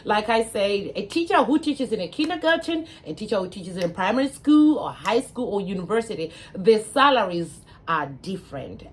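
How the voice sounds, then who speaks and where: A woman's voice: medium pitch, animated, normal speed, few pauses. A Zimbabwean woman in her thirties, an English teacher, speaking earnestly to her viewers with a lot of fillers. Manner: earnest, advising, with frequent fillers. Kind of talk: casual talk to camera.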